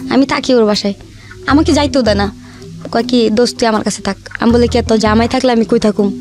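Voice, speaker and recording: A woman's voice: on the high side, steady tone, fast talker, a little mellow. A young woman with a Bangladeshi accent chattily telling her life story in colloquial speech, acting out the dialogue as she goes. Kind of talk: casual personal storytelling with re-enacted dialogue. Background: background music.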